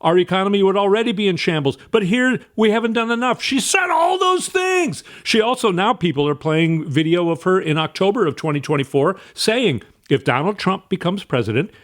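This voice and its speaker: A man gives casual political commentary in a fairly high voice. He talks in an animated, emphatic way and sounds exasperated. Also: moderate pace.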